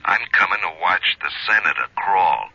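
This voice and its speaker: An actor playing a suspicious, menacing gangster in a 1970s radio drama, sneering and clipped over a telephone. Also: male voice, low-pitched, expressive, moderate pace, creaky, sharp tone, slightly rough, dry recording, exaggerated voice. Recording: noisy, dull phone-line radio recording.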